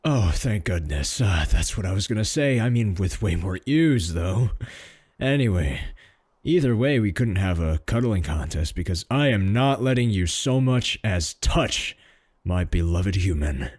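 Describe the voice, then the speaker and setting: A man playing a clingy, cocky male werewolf in a comedic roleplay audio drama, boastful, sarcastic and pleading, with a husky voice. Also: low voice, lively intonation, unhurried, a little mellow, no room sound, studio quality, heavily acted. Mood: flustered, defensive.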